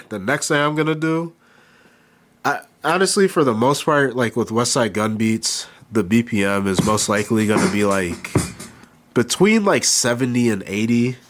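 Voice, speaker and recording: A man with a cold and a nasal voice, casually talking through a hands-on beatmaking tutorial, halting as he works. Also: mid-range voice, faint music behind. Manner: relaxed, halting, thinks aloud while working. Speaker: beatmaker demonstrating gear. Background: beat playing behind.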